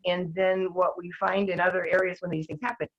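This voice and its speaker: Older woman, earnest and a bit hoarse. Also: on the low side, flat delivery, fairly quick. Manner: earnest, rambling, with many restarts. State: losing her voice, clearing her throat. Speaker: guest activist. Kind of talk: casual talk-show discussion. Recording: dull lo-fi sound.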